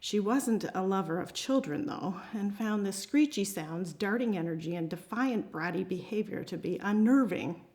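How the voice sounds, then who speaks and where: A woman's voice: medium pitch, animated, moderate pace, few pauses, darkish tone. A woman, an amateur writer reading and introducing her own work aloud to a group.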